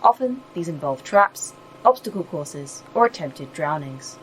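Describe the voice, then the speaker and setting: An actress playing a polite, literal-minded artificial intelligence in a sci-fi audio drama, formal and deadpan. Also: female voice, sits low, measured pace, slight background noise, low-quality recording. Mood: formal, earnest. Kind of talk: audio fiction acting.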